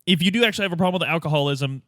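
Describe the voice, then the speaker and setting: A man's voice: a little high, rapid-fire, fairly bright tone, dry recording, clean studio sound. A man playing a tabletop game, chatting informally and asking questions. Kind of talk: casual chat.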